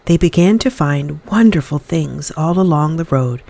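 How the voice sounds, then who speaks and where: A woman reading a children's story aloud in expressive, dramatic narration with deliberate phrasing. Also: low voice, creaky.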